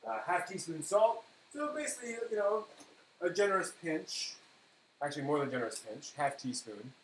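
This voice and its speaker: A man's voice: on the high side, lively intonation, moderate pace. A man hosting a casual cooking demonstration, rambling and correcting himself as he talks through the steps while he works. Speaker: live stream host. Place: indoor kitchen at a counter. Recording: echoey room, clear background noise.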